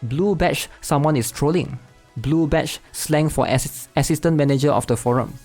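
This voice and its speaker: A man's voice: a little high, normal speed, gravelly, darkish tone, faint music behind. Chatty man reading posts aloud. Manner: chatty, tags sentences with "right?". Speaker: gaming channel host.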